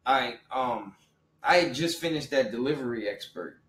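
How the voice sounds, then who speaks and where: A man in his thirties in a casual podcast chat, talking in animated, slangy bursts. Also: mid-range voice, normal speed, roomy sound. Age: 30s. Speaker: podcast co-host, rapper.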